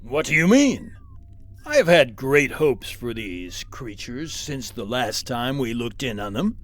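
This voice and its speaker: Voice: mid-range voice, very animated, unhurried, slight background noise. An actor playing a grand, commanding and breezily optimistic alien supervisor in a sci-fi satire audio play. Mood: pompous, hopeful. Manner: pompous, authoritative.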